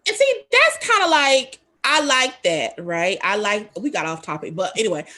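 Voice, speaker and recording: Candid talk-show hostess, bright voice. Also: female voice, medium pitch, sing-song, normal speed. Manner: candid, rambling with fillers. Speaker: host. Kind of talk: casual talk show chat.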